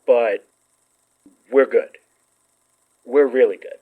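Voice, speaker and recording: A man's voice: mid-range voice, steady tone, takes it slowly, husky, phone-quality sound. An actor playing an affectionate, teasing young man in an audio drama, playful and reassuring. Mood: playful, candid. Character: Murphy, teasing, affectionate, open-hearted young friend and ex. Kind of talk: naturalistic audio drama acting.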